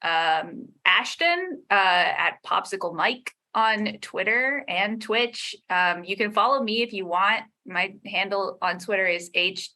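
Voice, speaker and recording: A woman's voice: mid-range voice, lively intonation, takes it slowly, hardly any pauses, bright, forceful tone. A woman chatting casually as a podcast guest, wry, self-deprecating and giggly. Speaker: audio drama podcast creator, panel guest. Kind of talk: casual chat.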